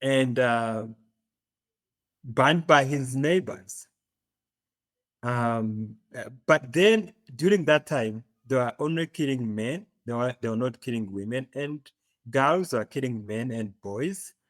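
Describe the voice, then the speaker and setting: A man from Uganda with an East African accent, telling his story as an interview guest, often pausing to search for words. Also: medium pitch, lively intonation, a bit bright, no room sound. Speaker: podcast guest.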